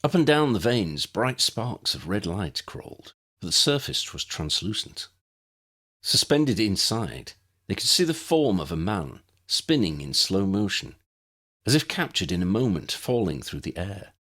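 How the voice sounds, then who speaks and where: A man's voice: fairly low pitch, very animated, measured pace, a bit bright, slightly rough. A man reading scripted story narration as an audiobook narrator.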